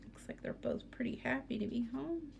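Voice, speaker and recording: A woman's voice: high voice, moderate pace, vocal fry, darkish tone, whispered, dull audio. A woman teacher reading a picture book aloud to students, expressively, with asides and questions for young listeners.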